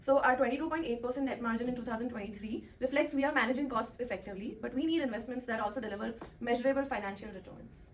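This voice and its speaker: Quick, higher-pitched woman debating business. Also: slight background noise. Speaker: debate panelist playing an executive. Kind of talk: prepared business argument.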